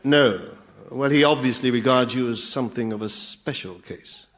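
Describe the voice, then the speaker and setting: An actor playing an officious demon guide to Hell in a radio comedy, with chirpy patter and easily hurt pride. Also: male voice, medium pitch, moderate pace, slight background noise, lightly acted. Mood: put out. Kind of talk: radio sitcom acting.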